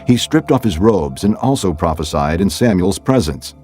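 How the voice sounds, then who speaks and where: A man narrating scripture from a script in a measured storytelling manner. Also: low-pitched, animated, a little mellow, soft background music.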